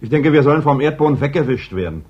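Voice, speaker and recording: An actor playing a militant worker who argues pointedly and deliberately, with bitter irony, in a radio play. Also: male voice, medium pitch, animated, lightly acted. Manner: pointed, ironic, deliberate arguing. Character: militant Spanish worker, probing, bitter and ironic. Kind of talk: German radio play dialogue. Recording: dull old radio recording, faint hiss.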